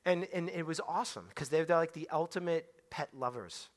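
A man preaching a sermon in a conversational way, swinging between hushed and loud passages and repeating his phrases. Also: on the high side, expressive, fairly quick.

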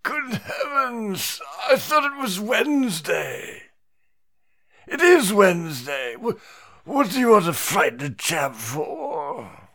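A man's voice: high-pitched, big pitch swings, slow, a bit bright, voice pushed up, full character voice. A man voicing a haggard, confused man who whines and quavers through tears. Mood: distressed, dazed. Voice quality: whiny, quavering.

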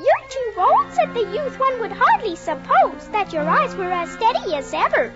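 A woman's voice: very high-pitched, big pitch swings, normal speed. A woman voicing a polite, curious girl lost in a strange land, in a dull old film recording with music. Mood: earnest. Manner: polite, wondering, earnest.

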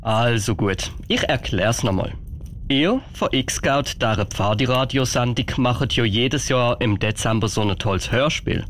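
Guy playing swiss santa storyteller, patient, normal pitch male voice, radio play, some rumble noise. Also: lightly acted. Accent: Swiss German. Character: kindly, patient Santa Claus telling stories.

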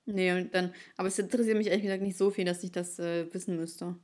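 A woman's voice: on the low side, fairly even intonation, quick pace, darkish tone. A young woman from Ukraine, co-hosting a casual chat podcast, teasing and playful in her talk. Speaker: podcast co-host.

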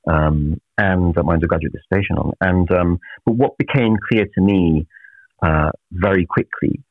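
A middle-aged man from Naples, a professor answering interview questions in a measured, reflective way with many filler pauses. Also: deep voice, no room sound. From Naples, Italy. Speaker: professor, guest. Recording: tinny phone-like line.

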